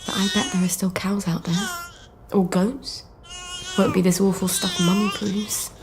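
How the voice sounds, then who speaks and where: A woman's voice: medium pitch, flat delivery, measured pace, lightly acted. Woman playing a frazzled English young mother, noisy background. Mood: tender, tearful. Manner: cooing baby talk slipping into muttered worry. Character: harried, foul-mouthed young mother fretting over her sick baby. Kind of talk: video game cutscene acting. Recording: clear background noise.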